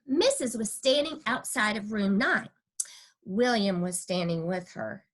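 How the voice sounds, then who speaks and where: A woman's voice: mid-range voice, expressive, measured pace. A woman voicing a cheeky, stubborn kindergarten girl who tells her own story with childish, emphatic, dramatic delivery. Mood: defiant, pleased. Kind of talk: first-person children's storybook reading.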